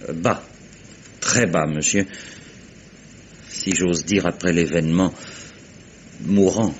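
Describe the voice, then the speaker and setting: An actor playing a hesitant, servile manor butler in a radio mystery, speaking haltingly and carefully. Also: male voice, low voice, fairly even intonation, dull audio, a little hiss or hum. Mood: hesitant, deferential. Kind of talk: radio mystery drama acting.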